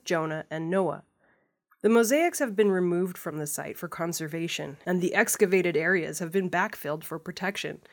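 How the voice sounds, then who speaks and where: A woman reading scripted news stories as the presenter of an audio news programme. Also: fairly low pitch. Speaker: news reader.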